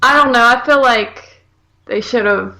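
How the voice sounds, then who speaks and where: A woman's voice: fairly high pitch, a little slow, sharp tone. A woman co-hosting a podcast, chatting casually with blunt, sweary, brief reactions. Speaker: podcast co-host. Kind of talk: casual chat.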